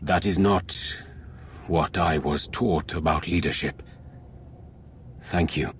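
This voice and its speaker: A man's voice: sits low, steady tone, slow, slight background noise. A man voicing a solemn military team leader in a video game, formal and restrained. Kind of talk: scripted video game acting.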